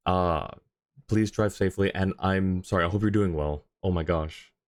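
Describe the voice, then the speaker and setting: A man with a low-pitched voice hosts a livestream. He talks casually and sociably with his audience, in a friendly, conversational way. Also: normal speed. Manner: friendly, chatty, thanks and greets viewers by turns. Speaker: live streamer, host. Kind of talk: casual livestream chat with viewers.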